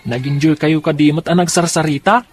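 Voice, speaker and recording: Man playing an eager, high-pitched Filipino boy. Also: fairly even intonation, a little fast, lightly acted. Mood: cheerful, curious. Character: eager, scheming young son in a family. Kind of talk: radio drama acting.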